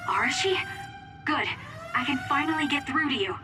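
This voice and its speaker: Distant, lively young teammate. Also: female voice, sits high, moderate pace. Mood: relieved. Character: caring, teasing young female teammate. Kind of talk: English video game voice acting. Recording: distant voice under game music.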